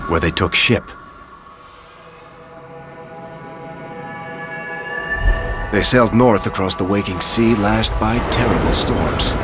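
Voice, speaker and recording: An actor playing a roguish, wry dwarf storyteller, narrating a game's tale in a knowing, measured, dramatic way. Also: male voice, low-pitched. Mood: grave. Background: music.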